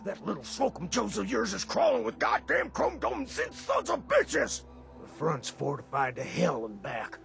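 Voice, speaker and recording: An actor playing a jittery, foul-mouthed, cantankerous informant in a video game, with a gravelly, cracking voice. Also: male voice, high voice, big pitch swings, normal speed, fairly bright tone, soft background music, exaggerated voice. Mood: agitated, angry.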